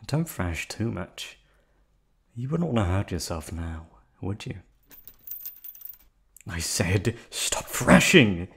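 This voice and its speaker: A man's voice: deep voice, big pitch swings, slow pace, gravelly, pauses often, fairly bright tone, husky, heavily acted. A man voicing an obsessive male yandere hotel receptionist in an ASMR drama, silky and polite, sliding into menace and close whispers. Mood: whispery. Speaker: voice actor. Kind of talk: ASMR roleplay audio drama.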